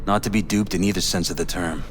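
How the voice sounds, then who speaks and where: An actor playing a wary, streetwise informant in a cyberpunk video game, speaking in slangy, emphatic, matter-of-fact terms. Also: male voice, deep voice, fairly even intonation, quick pace, background noise, natural voice. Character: streetwise, wary male informant explaining corporate danger. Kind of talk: video game drama acting.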